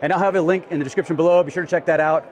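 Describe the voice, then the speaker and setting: A man's voice: fairly high pitch, fairly even intonation, rapid-fire, a little mellow. A man in his thirties hosting a casual product interview, enthusiastic and agreeable as he talks. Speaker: video host interviewing exhibitors. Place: busy indoor expo hall booths.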